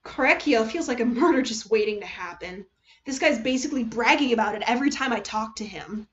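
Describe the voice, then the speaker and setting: A woman voices a scheming teenage boy in a dramatic story. He sounds cynical and calculating, as if muttering plans to himself. The pitch is on the high side and the recording is a little dull. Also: expressive, brisk, lightly acted. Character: scheming, cynical teenage boy writing unhinged secret notes.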